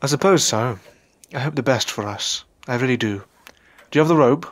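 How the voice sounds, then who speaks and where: A man's voice: mid-range voice, brisk, lightly acted. A man acting an uneasy, earnest adventurer in an audio drama, speaking hesitantly. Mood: anxious, resolute. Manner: hesitant, anxious. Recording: faint background noise and ambience.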